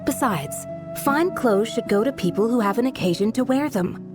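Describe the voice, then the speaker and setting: A woman playing a modest guardian of a desert village in a video game, speaking in a measured, polite and formal manner. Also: on the high side, animated, over music, lightly acted. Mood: composed, sincere. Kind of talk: video game character dialogue.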